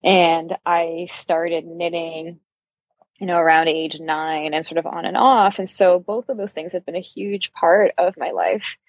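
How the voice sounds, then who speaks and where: A woman's voice: on the low side, fairly even intonation, unhurried, no echo, muffled recording. A middle-aged woman from Brazil being interviewed, telling personal stories in a candid, self-deprecating, conversational way. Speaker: interview guest, yarn shop owner.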